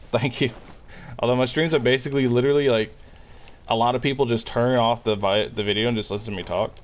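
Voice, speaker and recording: A man in his late twenties streaming a game, chatting loosely with viewers and answering chat, with a deep morning voice. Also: steady tone, brisk. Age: late 20s. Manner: laid-back, rambling, swears casually, answers chat. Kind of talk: casual live-stream chat while gaming.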